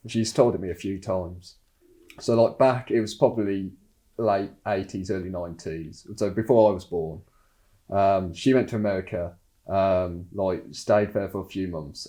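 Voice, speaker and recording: Give me a man casually recounting a family story, with a deep mellow voice. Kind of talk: telling a family story in an interview.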